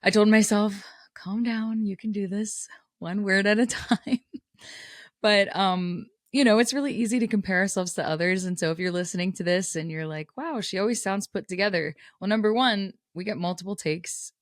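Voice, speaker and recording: A woman's voice: medium pitch, steady tone, normal speed, no echo, clean studio sound. A woman podcast host, a little scratchy and tired from a cold, talking candidly and casually with frequent laughs. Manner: candid, chatty, laughs often.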